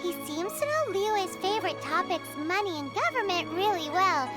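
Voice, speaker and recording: A woman voicing a tiny, chatty fairy companion girl in a game, with a high, squeaky, childlike voice. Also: expressive, normal speed. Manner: chatty, cheeky. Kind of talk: game voice acting. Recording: game cutscene with loud music.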